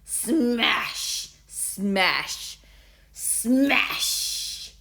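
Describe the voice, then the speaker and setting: A woman's voice: medium pitch, lively intonation, pitched above the performer's own voice. Woman playing a gloating schoolboy bully. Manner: slow, savouring, taunting. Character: gloating bully schoolboy who relishes violence.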